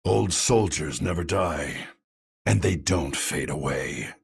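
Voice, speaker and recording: An actor playing a grizzled, grumpy old American vigilante soldier in a video game, gruff, terse and raspy. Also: male voice, a bass voice, animated, takes it slowly, full character voice. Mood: weary defiance. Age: older. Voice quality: gravelly, raspy. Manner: gruff, terse, world-weary. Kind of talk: video game hero voice lines.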